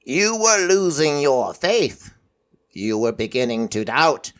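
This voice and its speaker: A man voicing an ancient, stern god of prophecy in raven form, croaking and solemn, in an audio story. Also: medium pitch, very animated. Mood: solemn, explaining. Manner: slow, solemn, formal delivery. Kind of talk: audio fiction character voice.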